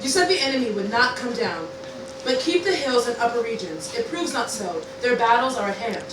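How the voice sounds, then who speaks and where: A woman acts out a defiant hive-mind commander hurling mock Shakespeare in a fairly high voice. The amateur radio play is roomy, with clear noise. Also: animated, brisk, close to an everyday voice. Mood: firm. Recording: roomy, slightly distant, noisy room recording.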